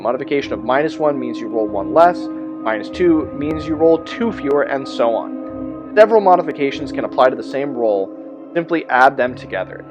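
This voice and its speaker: Male host, mid-pitched, explaining tabletop rules with informal asides, music underneath. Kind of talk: rules explainer, part read aloud, part informal commentary.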